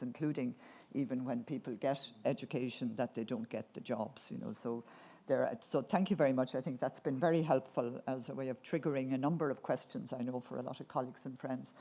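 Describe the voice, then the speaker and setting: A woman's voice: a bass voice, dark tone. A woman chairing a conference panel, thanking speakers and handing over with fillers and restarts. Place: conference hall.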